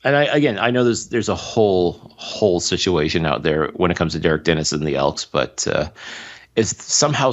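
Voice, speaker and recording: A man's voice: fairly low pitch, lo-fi sound. A man co-hosting a sports podcast, giving his opinions in casual, conversational commentary.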